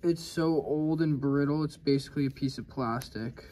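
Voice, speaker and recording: A man's voice: fairly high pitch, moderate pace, slight background noise, poor audio. A man in his twenties casually explaining a motorcycle repair to a handheld camera in his home garage. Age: 20s. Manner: relaxed, explains hands-on repair steps. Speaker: motorcycle restoration vlogger. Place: home garage and driveway, outdoors and indoors. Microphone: handheld camera at arm's length.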